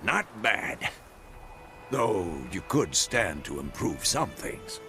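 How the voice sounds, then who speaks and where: A man playing a seasoned older monster hunter in a fantasy game, a calm wry mentor with a gravelly, terse delivery. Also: on the low side, over music, exaggerated voice. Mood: wry, instructing. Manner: terse, measured instructing. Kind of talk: video game dramatic acting.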